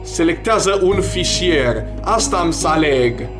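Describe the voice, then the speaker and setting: Crude gamer over game music. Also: male voice, a little high, expressive. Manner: crude, joking, swearing. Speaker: gaming video host. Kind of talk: casual gameplay commentary, reading game text aloud. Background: video game music.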